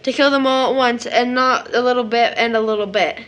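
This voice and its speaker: Woman as a bright-voiced, earnest little boy. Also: fairly high pitch, steady tone, brisk, natural voice. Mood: hurt, earnest. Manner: childlike, questioning, earnest. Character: sensitive, trusting seven-year-old boy, hurt but brave. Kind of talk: audio drama acting.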